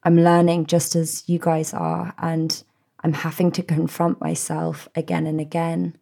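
Soothing low-voiced woman, gravelly edges. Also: flat delivery, measured pace. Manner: gentle, soothing, heartfelt. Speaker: podcast host. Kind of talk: reflective solo podcast address.

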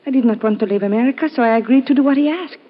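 A woman's voice: a little high, very fast, slightly rough. An actress playing a frightened, earnest foreign woman in a 1950s radio drama, speaking precisely and formally, on a muffled vintage recording. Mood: earnest, uneasy.

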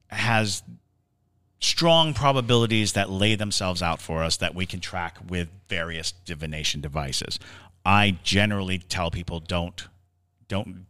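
A man co-hosting a podcast, explaining things conversationally in a halting, reflective way. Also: on the low side, pushed and bright. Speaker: podcast host. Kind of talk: conversational podcast discussion of the paranormal.

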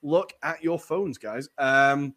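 Young guy running a footy pod, voice going slightly raspy, lots of ums and laughs. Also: fairly high pitch, slow, sharp tone, a little hiss or hum. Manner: chatty, jokey, lots of ums. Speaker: podcast host. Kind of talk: casual football podcast chat.

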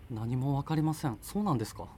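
A man's voice: medium pitch, expressive, quick pace, darkish tone. An actor playing a mild, easygoing man in a naturalistic radio drama, speaking in a hesitant, colloquial way. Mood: bemused. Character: mild, easygoing partner helping arrange furniture in a new home.

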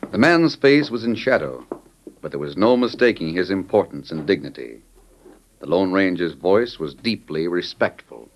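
Male narrator, deep voice, dramatic scripted delivery, vintage radio western, faint background noise. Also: lively intonation, normal speed, highs cut off. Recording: faintly noisy old radio recording.

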